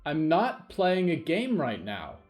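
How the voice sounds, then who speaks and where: Reluctant kid traveller, voiced by a man: higher register, grumbling, stubborn, plain folksy talk for an eerie story podcast. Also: wildly swooping pitch, takes it slowly, darkish tone, faint music behind, full character voice. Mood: grumpy, fed up. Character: grumpy, reluctant young boy lost in woods.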